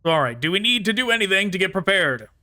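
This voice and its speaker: A man's voice: high-pitched, big pitch swings, fast, bright, forceful tone, no room sound. A man giving casual gameplay commentary, rambling and thinking aloud as he plays. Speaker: gaming YouTuber.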